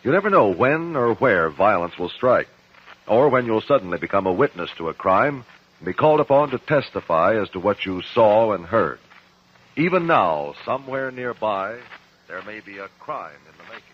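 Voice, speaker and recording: An actor playing a calm, worldly crime investigator in a 1950s radio drama, speaking in a measured, grave way. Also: male voice, lowish voice. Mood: grave, foreboding. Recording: dull, muffled 1950s radio recording.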